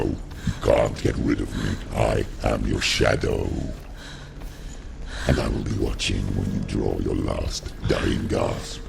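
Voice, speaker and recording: A man voicing a menacing, possessive shadow demon in a dark fantasy game, guttural and taunting, speaking in ominous rhythms. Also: a bass voice, gravelly, background noise, heavily acted. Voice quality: guttural, growling. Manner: slow, ominous, chanting in rhyme. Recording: loud music behind the voice.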